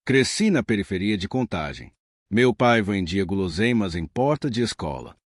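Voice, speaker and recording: A man reading the lines of a wealthy businessman, now humbled and reflective, speaking in a measured way. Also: on the low side, animated, no room sound, studio quality.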